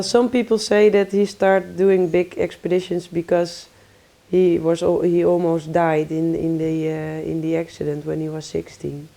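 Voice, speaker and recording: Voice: medium pitch, gravelly, warm tone. A speaker talking about someone else in a casual interview, hesitant and full of fillers. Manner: hesitant, many fillers and restarts.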